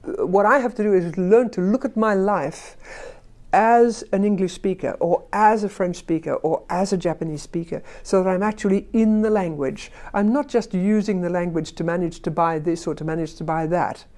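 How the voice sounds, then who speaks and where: Woman, language teacher, mellow tone, emphatic, fond of rhetorical questions. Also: on the low side, moderate pace. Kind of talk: conversational discussion.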